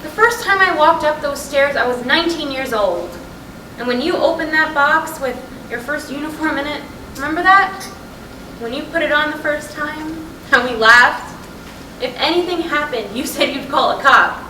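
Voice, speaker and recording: Woman acting a high-voiced, restless wife, echoey. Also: moderate pace, bright, forceful tone. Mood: earnest, wistful. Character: restless, fed-up, blunt middle-aged wife. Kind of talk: staged reading of a stage play. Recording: echoey room from far off, noisy.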